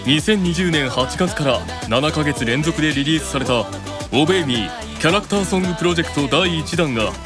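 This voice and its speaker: A man's voice: fairly high pitch, natural voice. A man playing a haughty, commanding male demon, reading a promotional announcement in character with an imperious delivery. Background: clear music bed.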